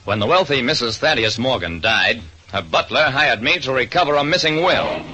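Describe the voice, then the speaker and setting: A man's voice: medium pitch, sing-song, moderate pace, sharp tone. An actor playing a wry private detective who narrates his case in 1940s radio drama style. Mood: brisk, wry. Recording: noisy vintage radio recording.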